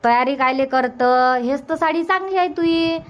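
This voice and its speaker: A woman voicing a bossy older village mother-in-law in a cartoon comedy, brisk and repetitive, with a Varhadi Marathi accent. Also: sits high, slight background noise, lightly acted. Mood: bossy, urging. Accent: Varhadi Marathi from Vidarbha.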